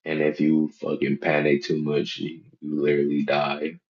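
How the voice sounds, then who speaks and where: Very deep-voiced young man, slangy and joking. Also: monotone, normal speed, a little mellow, lo-fi sound. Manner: slangy, profane, joking, repeats phrases. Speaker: reaction video host. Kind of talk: casual unscripted reaction commentary.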